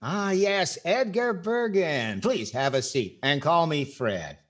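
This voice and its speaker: Animated, skeptical radio comedy star played by a man. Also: a little high, slow. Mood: businesslike. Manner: wry, doubtful, theatrical timing. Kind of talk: 1940s radio comedy acting.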